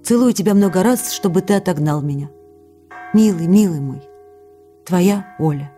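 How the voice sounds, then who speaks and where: An actress playing an affectionate, anxious young wife in a radio play of letters, speaking tenderly, earnestly and expressively. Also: female voice, on the low side, normal speed, music in the background, lightly acted. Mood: tender, apologetic. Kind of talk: dramatised reading of intimate letters, radio play.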